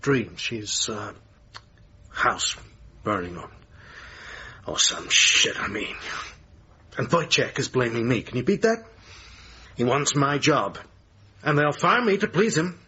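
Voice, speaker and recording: A man acting in a radio drama as a bitter, needling, self-pitying theatre manager and lover, with a husky voice. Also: medium pitch, expressive, slow, pauses often, sharp tone, lo-fi sound, a little hiss or hum. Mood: agitated, bitter.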